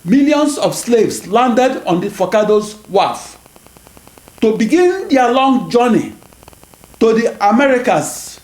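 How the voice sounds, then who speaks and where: High-voiced Nigerian man lecturing. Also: sing-song, a little mellow. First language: Igbo. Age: 60s. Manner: measured, deliberate delivery with occasional self-corrections. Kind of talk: scripted lecture. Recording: roomy echo with faint static.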